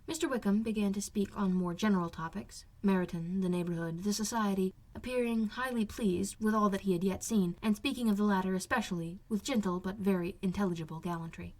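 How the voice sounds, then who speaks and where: A woman's voice: medium pitch, brisk, few pauses, darkish tone. A woman reading a classic novel aloud as an amateur audiobook narrator. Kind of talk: scripted literary narration.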